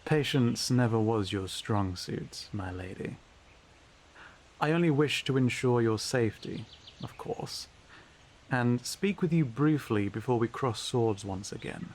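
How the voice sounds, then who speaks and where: Slow-drawling smug villain knight. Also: male voice, mid-range voice, expressive, a little mellow. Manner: pompous, drawling, mocking. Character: smug, scheming knight, a haughty male villain suitor. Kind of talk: theatrical fantasy audio roleplay acting.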